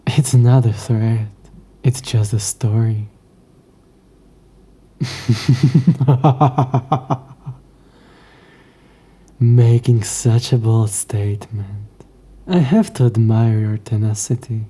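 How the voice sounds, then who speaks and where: A man voicing a smug, coy, centuries-old demon in an audio roleplay, speaking in a hushed, breathy voice with haughty, old-fashioned, taunting phrasing. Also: medium pitch, steady tone, very slow, pauses often. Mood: smug, amused. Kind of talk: scripted audio roleplay addressed to the listener.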